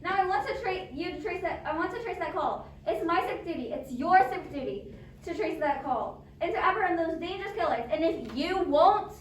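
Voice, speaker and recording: A woman playing a nervous, imperious, demanding invalid wife on the telephone in a radio play, agitated and halting. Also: extremely high pitch, animated. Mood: exasperated. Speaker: amateur drama club actor. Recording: echoey, distant, noisy amateur recording.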